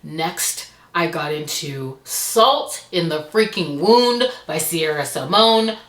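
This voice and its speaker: A woman's voice: deep voice, animated, takes it slowly, fairly bright tone, echoey room. A woman chatting expressively as a book reviewer, gushing and emphatic as she recaps what she has read. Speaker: book reviewer and host of a reading channel. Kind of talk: chatty monthly reading wrap-up to camera.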